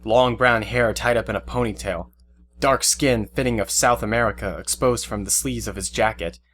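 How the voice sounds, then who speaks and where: A man's voice: fairly low pitch, steady tone, moderate pace. A man reading scripted action narration for an audio drama. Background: loud music with guitar.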